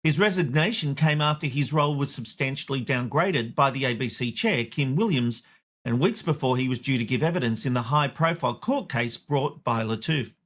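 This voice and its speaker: A man's voice: mid-range voice, normal speed, a bit bright. A man with an Australian accent hosting a media commentary show, wry and opinionated. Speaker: host.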